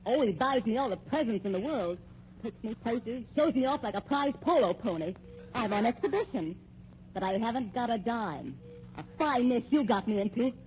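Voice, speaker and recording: Woman acting a high-voiced, discontented gold-digger wife. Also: expressive, normal speed, darkish tone, lightly acted. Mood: bitter. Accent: American. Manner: complaining, dramatic. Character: discontented gold-digging young wife, defiant but frightened. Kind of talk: vintage American radio drama acting. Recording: muffled, noisy old radio recording.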